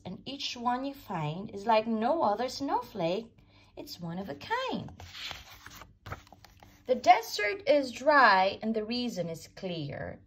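Slow, lively, high-voiced woman reading aloud. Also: breathy edge. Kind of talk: children's picture book read-aloud, rhyming verse.

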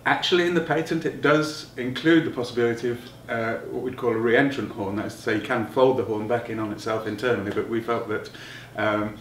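A middle-aged man giving a technical explanation as an interviewed designer, halting and thinking aloud as he goes. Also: medium pitch, steady tone, a bit bright. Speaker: loudspeaker designer being interviewed. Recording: roomy, distant mic, noticeable background noise.

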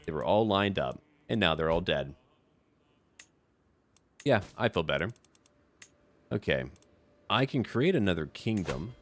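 A man's voice: sits low, animated, unhurried, long pauses. A man hosting a casual roleplay gaming video, commenting in a chatty, offhand way as he addresses the game's characters.

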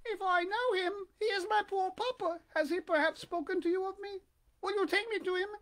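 A woman voicing a childlike wooden puppet boy in a storybook, with a plaintive, excitable, melodramatic manner. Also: very high voice, a little fast, voice pushed up. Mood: pleading, anxious. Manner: plaintive, melodramatic, breathy exclamations.

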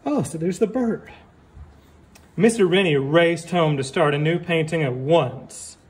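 A man reading a picture book aloud like a teacher, deliberately, with casual asides and questions for young listeners. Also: fairly high pitch, a little mellow.

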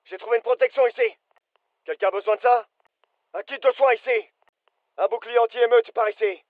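A man's voice: very high voice, brisk, no echo, phone-quality sound. A male actor playing an alert, businesslike soldier giving clipped, urgent callouts in a military shooter game. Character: British fireteam soldier, alert, businesslike adult man. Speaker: voice actor, French dub.

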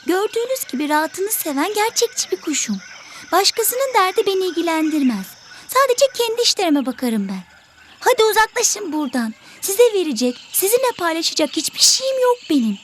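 Selfish cartoon bird, squeaky high voice, brusque and scolding, lively intonation, faint noise behind. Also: female voice, a little fast. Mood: irritated, dismissive. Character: selfish grumpy bird guarding its tree. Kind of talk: educational cartoon voice acting.

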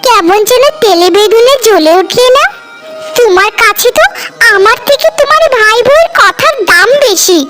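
A woman's voice: extremely high pitch, lively intonation, moderate pace. A woman voicing a jealous, nagging young wife in a cartoon family drama, complaining and sarcastic. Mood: nagging, resentful. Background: music.

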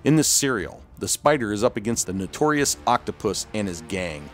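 Low-voiced man narrating a documentary. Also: big pitch swings, fairly quick, soft background music. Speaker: video host, narrator.

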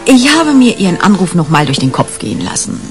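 A woman's voice: lowish voice, sing-song, fairly quick, faint music behind. An actress in a 1980s German radio crime play, playing a shrewd, sardonic senior policewoman who talks bluntly and colloquially. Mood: brisk, probing. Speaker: actor in a radio crime drama.

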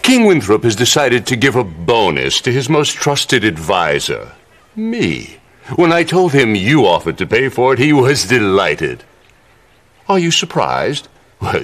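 An actor playing a scheming middle-aged royal advisor in a campy video game cutscene, mock-polite and gloating with a theatrical drawl. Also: male voice, on the low side, fairly bright tone, full character voice.

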